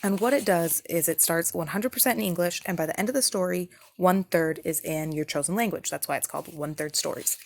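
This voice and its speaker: A woman's voice: on the low side, fairly quick, hardly any pauses. A woman in her thirties giving a casual, explanatory product review in a conversational way, like a parent vlogger. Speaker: parent reviewing children's subscription boxes.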